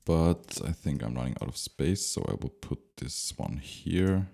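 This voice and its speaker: A man giving an unscripted, step-by-step software tutorial, thinking aloud with some hesitation as he explains. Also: a bass voice, animated, a little slow, creaky. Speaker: tutorial presenter.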